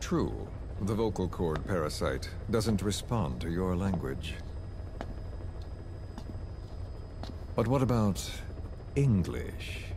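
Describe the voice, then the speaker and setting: An actor playing a menacing middle-aged male villain in a video game, speaking with mock courtesy and theatrical, measured menace. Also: big pitch swings, heavily acted. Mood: coldly threatening. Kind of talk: dramatic video game voice acting. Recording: noisy cassette-tape drama with rumbling ambience.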